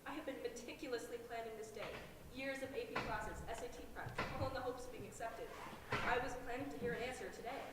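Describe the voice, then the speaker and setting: A woman's voice: a little high, fast, lightly acted. A young woman acting an intense, scheming student, echoey hall. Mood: ominous. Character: intense, scheming young female college applicant, coolly menacing. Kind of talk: student one-act stage play acting. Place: theater hall, recorded from audience distance. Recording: echoey hall, distant noisy mic.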